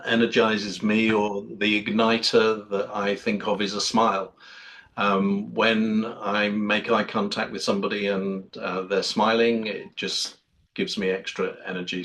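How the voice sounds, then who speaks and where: Host, flat-toned man, hesitant and plain-spoken. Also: on the low side, poor audio. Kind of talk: hosting a conversation on a video call.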